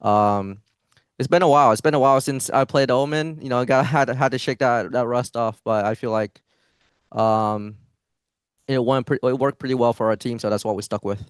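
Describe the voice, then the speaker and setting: A man's voice: medium pitch, fairly even intonation, moderate pace, clear, resonant tone, dry recording, studio quality. A young man, a pro esports player, answering interview questions in a hesitant way, with many fillers and restarts. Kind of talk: post-match interview answers.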